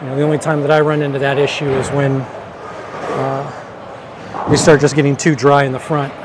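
A man's voice: medium pitch, flat delivery, normal speed. A middle-aged man giving a casual product review as he demonstrates, talking inside a large bowling alley. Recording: noisy bowling alley ambience.

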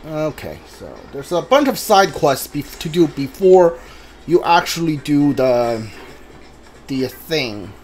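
A video game player giving casual live playthrough commentary, thinking aloud in a halting way with mumbled asides. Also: medium pitch, very animated, a little mellow. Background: video game music.

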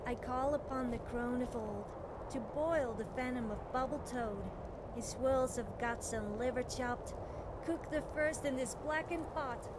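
A woman's voice: sits high, unhurried, very noisy. A woman voicing an eager, scatterbrained young would-be witch in a video game, chatty and self-doubting. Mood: solemn incantation. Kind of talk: video game character dialogue.

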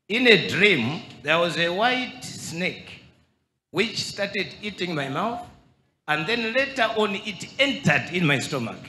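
A middle-aged man from Zambia, speaking into a handheld microphone and slowly and earnestly telling his story in a church. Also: high-pitched, bright, forceful tone, reverberant. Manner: earnest, slow testimony. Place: large church hall with crowd. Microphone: handheld mic.

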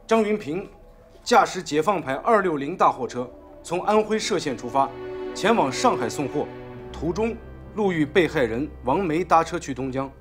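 Higher-pitched, formal male prosecutor. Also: fairly bright tone. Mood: formal, solemn. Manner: measured, formal, articulate. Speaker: public prosecutor. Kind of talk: formal courtroom reading and argument in a film.

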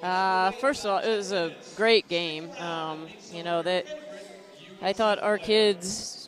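A woman's voice: fairly low pitch, slow, crowd noise. A tired American woman in her thirties, a basketball coach giving a post-game interview on a headset mic. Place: courtside in an indoor gym.

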